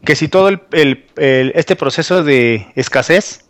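A man's voice: a little high, unhurried, no echo. A man on a talk radio show asking questions in a hesitant way, searching for words. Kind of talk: casual talk radio discussion.

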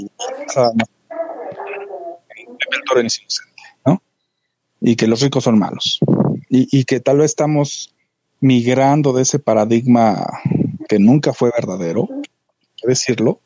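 A Mexican man with a Mexican accent in a casual podcast discussion, reflective and halting with frequent restarts. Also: mid-range voice, a little mellow, breathy edge, no echo, rough recording. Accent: Mexican Spanish.

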